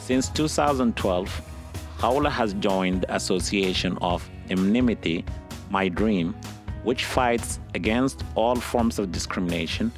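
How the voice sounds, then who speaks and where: A man's voice: on the low side, unhurried. A man hosting an interview podcast, reading a formal, prepared introduction to the show and his guest. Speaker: podcast host. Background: soft music bed.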